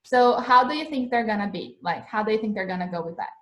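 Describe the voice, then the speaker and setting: A young woman with a mid-range voice teaches English over a laptop webcam in a chatty, animated way. Her speech carries a noticeable echo from the small room she sits in. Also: mid-range voice, fast talker, a little hiss or hum. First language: Portuguese. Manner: chatty, animated, gestures a lot while explaining. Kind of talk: live-streamed English lesson. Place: small indoor room, webcam in front of a plain wall. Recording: echoey room sound.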